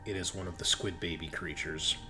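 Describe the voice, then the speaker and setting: A man narrating a tabletop roleplaying game as game master, describing scenes in a halting, improvised way. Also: sits low, fairly even intonation, over music.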